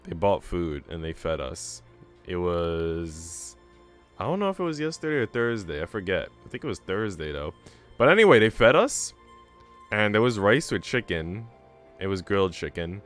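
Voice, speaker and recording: Man, low voice, casual livestream chat, profane bro-ish slang, faint music behind. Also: very animated, moderate pace, fairly bright tone. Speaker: streamer.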